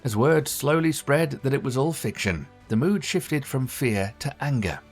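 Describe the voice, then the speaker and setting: A man reading scripted documentary narration in a measured, formal way. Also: mid-range voice, sing-song, soft background music.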